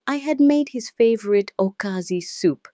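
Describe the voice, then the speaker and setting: A woman's voice: on the high side, lively intonation, normal speed, warm tone, no echo, clean studio sound. A woman with a Nigerian accent telling a dramatic first-person story as its narrator.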